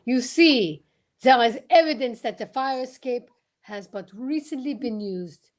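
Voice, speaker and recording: A woman playing a pompous, courteous male detective, formal and deliberate, in old-time radio mystery style. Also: on the high side, lively intonation. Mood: grave, accusing. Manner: formal, deliberate, theatrical questioning.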